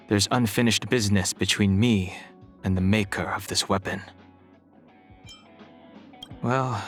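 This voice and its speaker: Stoic young dragon warrior from a game, low voice, slow n measured, solemn, music behind. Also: male voice. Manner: calm, measured, solemn, formal. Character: stoic, reserved young dragon-descended warrior, burdened by his past. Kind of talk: video game character voice lines.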